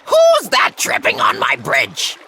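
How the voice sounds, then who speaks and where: Shrill cackling male troll, very high, wildly swooping, slow drawl, trickling water behind. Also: wildly swooping pitch, sharp tone, a little hiss or hum, heavily acted. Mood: menacing. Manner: bullying and menacing. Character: shrill greedy boastful male troll under a bridge. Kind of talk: storybook read-aloud.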